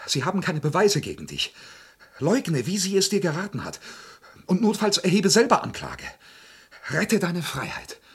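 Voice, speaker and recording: An actor playing an intense, lovesick murderer in a radio play, impassioned, moving between hushed and outraged delivery. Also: male voice, on the high side, breathy edge, full character voice. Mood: pleading. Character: intense, wounded, lovesick man who confesses to murders. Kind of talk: dramatic radio play acting.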